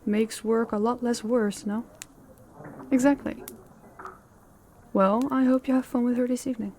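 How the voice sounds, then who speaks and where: A woman playing a composed female company boss in an audio roleplay, speaking in a measured, politely inquisitive way with a falsely casual air. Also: a little high, fairly even intonation, dark tone, a little hiss or hum, close to an everyday voice. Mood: politely curious. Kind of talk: scripted audio roleplay acting, one-sided conversation.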